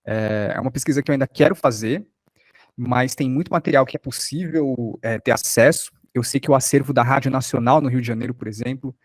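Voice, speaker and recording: A man with a Brazilian accent teaching a workshop informally, thinking aloud in a halting way. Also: medium pitch, dry recording. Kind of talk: informal spoken lesson.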